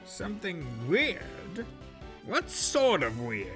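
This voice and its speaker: A man playing a genial, muddled judge in an audio drama, with a pompous, deliberate manner. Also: high voice, huge pitch swings, music in the background, full character voice. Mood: pompous, curious.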